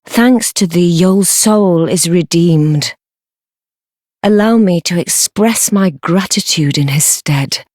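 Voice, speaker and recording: A woman playing a sly, devoted noblewoman in a dark fantasy game, speaking formal archaic English in a low, breathy, measured voice. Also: dry recording, studio quality. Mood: courtly, grateful. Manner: measured, formal archaic speech, deferential yet commanding. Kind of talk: fantasy video game acting.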